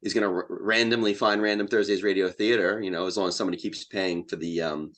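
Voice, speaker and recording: A man's voice: low voice, steady tone, fast, a bit bright. A man speaking as an interview guest in a casual, rambling and enthusiastic way. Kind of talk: casual chat.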